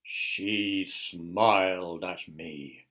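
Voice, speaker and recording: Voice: sits low, fairly even intonation, very slow, fairly bright tone, pitched below the performer's own voice, full character voice. A storyteller voicing a stern, gloomy castle guard in a children's story, with solemn pronouncements.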